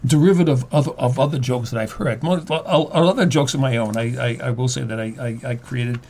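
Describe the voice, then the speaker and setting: A man in his seventies talking casually and reflectively as a guest writer, halting and repeating words as he goes. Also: mid-range voice, a little mellow. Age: 70s.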